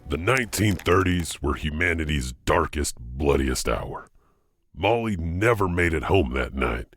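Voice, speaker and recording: A man's voice: a bass voice, animated, unhurried, a bit bright, no echo, clean studio sound, faint music behind. A man narrating a scripted horror story as the narrator of an audio drama.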